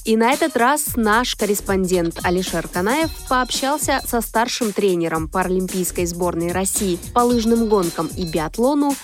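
A woman presents a scripted radio introduction in an announcer's read. Her voice has a middle pitch for a woman, and music plays clearly behind her. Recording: music bed under the voice.

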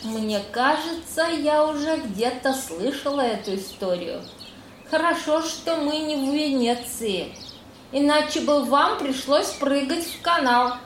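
Lady acting a wistful wry young single woman, high pitched, slow talking, roomy echo, audio play. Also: lively intonation, a bit bright. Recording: roomy echo, noisy birdsong ambience.

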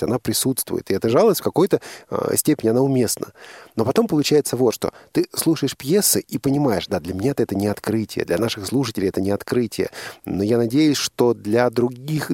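A man hosting a reflective radio interview, speaking in an earnest, emphatic, halting way with frequent repetitions and a husky, gravelly voice. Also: mid-range voice, a little mellow, no echo, clean studio sound. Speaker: radio host interviewing a guest.

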